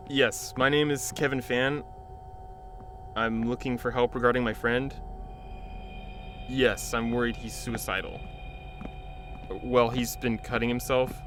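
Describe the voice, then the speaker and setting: A man's voice: medium pitch, close to an everyday voice. An actor playing a worried young man trying to get help for a troubled friend, anxious and pleading in an audio drama. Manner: anxious and pleading, rising to panicked shouts. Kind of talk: amateur audio drama acting.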